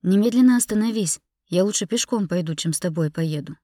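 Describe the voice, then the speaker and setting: A woman's voice: on the low side, normal speed, a little mellow, no echo, studio quality. A woman narrator playing a hurt, bitter young woman confronting her husband in an audiobook drama, emotional and indignant. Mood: angry, demanding.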